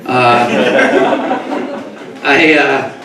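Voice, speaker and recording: A man from New York doing a stand-up comedy set, rambling and stumbling over his words, then breaking into loud comic outbursts. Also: medium pitch, fairly even intonation, very slow, gravelly, background noise. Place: comedy club stage before a live audience. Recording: echoey club recorded from a distance, crowd audible.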